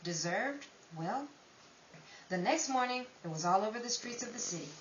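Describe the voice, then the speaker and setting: A woman's voice: on the high side, expressive, moderate pace, dull audio, close to an everyday voice. Woman acting a swooping, wistful 1930s storyteller. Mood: wry, gossipy. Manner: slangy, theatrical storytelling. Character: wry, wistful 1930s woman telling a star's downfall. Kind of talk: 1930s old-time radio drama narration. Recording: echoey, distant room with hiss.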